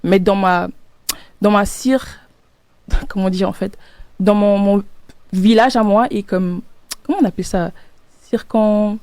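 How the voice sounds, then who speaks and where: An upbeat young woman from Cameroon leads a relaxed panel chat, laughing easily and sometimes searching for the right word. Her mid-range voice sounds close and dry, with no room around it. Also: mid-range voice, measured pace, long pauses, smooth, no room sound. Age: young adult. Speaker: podcast host. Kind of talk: casual panel discussion.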